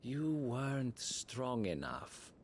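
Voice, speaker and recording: A man playing a cool, sinister schemer in a video game, taunting with calm condescension. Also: medium pitch, slow pace, slight background noise. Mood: cool mockery. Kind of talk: dramatic video game acting.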